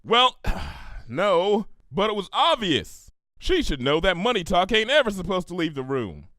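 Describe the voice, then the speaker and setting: A man's voice: high-pitched, sing-song, measured pace, fairly bright tone, dry recording, clean studio sound, voice pushed up, heavily acted. A man voicing a blunt, hard-working female cartoon farm pony with a folksy, drawling way of talking, in a story reading. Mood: indignant, angry. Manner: folksy, plain-spoken, drawling dialect.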